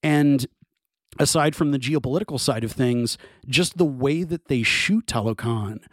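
Animated rambling male podcast talker. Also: medium pitch, no room sound, clean studio sound. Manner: enthusiastic, rambling with many restarts. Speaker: podcast co-host. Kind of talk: casual film discussion.

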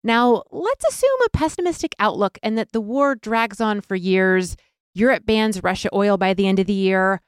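Smooth-voiced woman hosting a podcast. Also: mid-range voice, normal speed, no echo, clean studio sound. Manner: polished, friendly, reads introductions. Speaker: podcast host.

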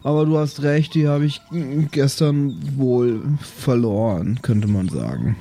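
A man's voice: mid-range voice, unhurried, mellow. A man role-playing in an improvised game, speaking in a sleepy, hesitant drawl. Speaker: player in a pen and paper audio show. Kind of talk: improvised in-character role play.

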